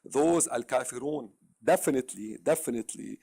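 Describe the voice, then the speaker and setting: Arabic-accented male caller, raspy and gravelly, halting and repetitive delivery. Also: mid-range voice, mellow. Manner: halting, repeats words, many fillers. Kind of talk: live debate call.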